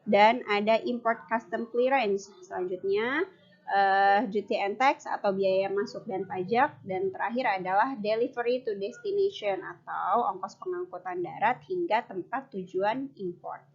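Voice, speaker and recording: A woman's voice: mid-range voice, measured pace. Young woman presenting, dull recording. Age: young adult. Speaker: university student presenter. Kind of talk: explanatory class presentation.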